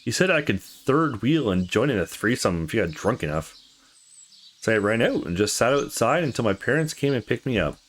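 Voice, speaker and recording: A man's voice: medium pitch, big pitch swings, brisk. A man voicing a playful, blunt young woman with slangy speech in a visual novel game. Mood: bitter.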